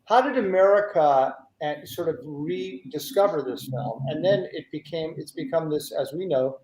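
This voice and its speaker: A man's voice: a little high, expressive, moderate pace, a little mellow, a little hiss or hum. A man hosting a conversational interview in an effusive way, often repeating his phrases as he goes. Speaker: host. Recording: echoey room sound.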